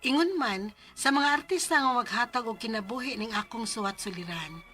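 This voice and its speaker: High, bright-voiced earnest daughter. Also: female voice, expressive, moderate pace. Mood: earnest, formal. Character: earnest adult daughter, a letter writer, practical and caring. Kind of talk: radio drama acting.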